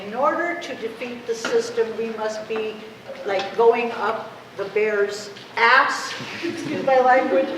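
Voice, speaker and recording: Echoey, distant woman giving a talk. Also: fairly high pitch. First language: Spanish. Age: 50s. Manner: conversational, seeking agreement with rhetorical questions. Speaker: activist speaker. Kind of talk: impassioned public talk. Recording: echoey room from afar, clear noise.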